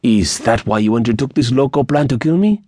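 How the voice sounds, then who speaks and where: A man voicing a sociable yet menacing bandit leader in an audiobook, smooth and deliberate. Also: medium pitch, lively intonation, mellow, no echo, clean studio sound, pitched above the performer's own voice, natural voice. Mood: shrewd, menacing.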